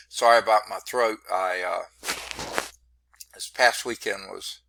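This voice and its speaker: A hoarse, congested man teaching a math class over a video call, narrating his screen setup in a rambling, self-deprecating way. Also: lowish voice, noisy background. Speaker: math instructor. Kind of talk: online class lecture. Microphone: computer mic on a video call.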